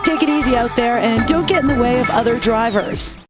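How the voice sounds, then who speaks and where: A wry, playful female rock DJ played by a woman rattles off scripted station lines. Her voice sits fairly high. Loud rock music plays behind her. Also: a little fast, close to an everyday voice. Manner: wry, playful announcer delivery. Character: breezy female rock radio DJ. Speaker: radio DJ. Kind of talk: scripted game radio lines.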